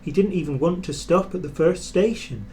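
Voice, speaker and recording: A man's voice: a little high, moderate pace, darkish tone. A man narrating a children's storybook as a storyteller. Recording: clear background noise.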